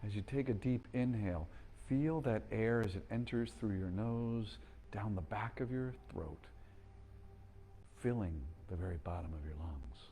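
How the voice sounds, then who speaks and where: A man guiding a slow breathing meditation, speaking calmly and gently with long pauses. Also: lowish voice, slight background noise. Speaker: meditation guide.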